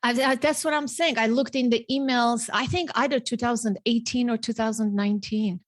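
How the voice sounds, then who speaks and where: A woman's voice: a little high, fairly even intonation, brisk, dry recording. Armenian-accented woman hosting, close dry sound. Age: 40s. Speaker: podcast host. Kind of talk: podcast introduction and interview talk.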